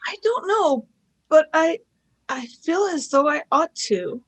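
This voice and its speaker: A woman's voice: sits high, expressive, slow pace. A woman playing an eager, nervous young female companion in an amateur radio play. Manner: wondering, anxious questions.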